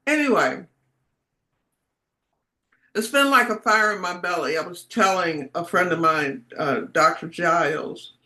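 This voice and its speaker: Host on a video call, woman, unhurried and bright, fond and chatty. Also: fairly low pitch. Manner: affectionate, rambling. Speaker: meeting host. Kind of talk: casual video call greetings.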